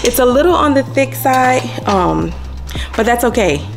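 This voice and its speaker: A woman's voice: fairly high pitch, lively intonation, measured pace, vocal fry. A woman narrating a home cooking video in a chatty, casual way, often addressing viewers as y'all. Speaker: cooking vlog host. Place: home kitchen. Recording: music under the voice. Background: background music.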